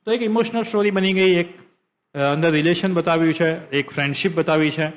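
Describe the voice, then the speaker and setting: A man with a Gujarati accent and a fairly high voice hosts a software tutorial. He explains what is on screen step by step, repeating phrases to drive the point home. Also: a little fast. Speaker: tutorial host.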